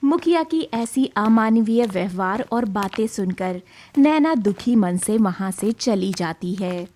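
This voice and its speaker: A woman's voice: mid-range voice, lively intonation, a little slow, darkish tone. A woman narrating a scripted cartoon moral story as a storyteller.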